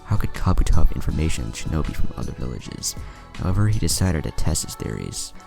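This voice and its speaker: A man's voice: very deep voice, sing-song, fast talker, gravelly, darkish tone. A man narrating a scripted fan fiction story, with music playing behind him. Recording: music under the voice. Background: background music.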